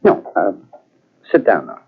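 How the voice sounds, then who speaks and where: An actor in an old-time radio mystery playing a scheming would-be playwright husband, smooth and insinuating, with menace underneath. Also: male voice, deep voice, huge pitch swings, exaggerated voice. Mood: oily, coaxing. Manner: smooth, insinuating, quick to turn threatening. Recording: tinny, band-limited old radio cassette transfer.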